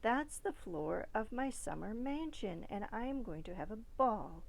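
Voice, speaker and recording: A woman's voice: medium pitch, animated, unhurried, gravelly, low-quality recording. A woman voicing an imaginative, bossy little girl in a storybook, emphatic and eager. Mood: proud, insistent.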